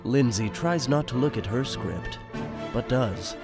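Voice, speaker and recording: A man's voice: medium pitch, animated, measured pace, over music. A man narrating a radio play in the present tense with a conversational storytelling manner.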